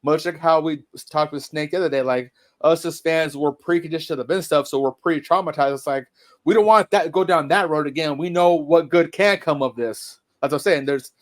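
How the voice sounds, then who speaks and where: A man in casual podcast chat, measured and reflective, often stammering into his points. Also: on the high side. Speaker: podcast co-host.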